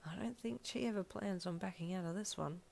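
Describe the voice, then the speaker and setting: A woman voicing a gentle, doting father who speaks softly and teases kindly. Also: on the low side, fairly quick, vocal fry, soft background music. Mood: amused. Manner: soft, tender, teasing.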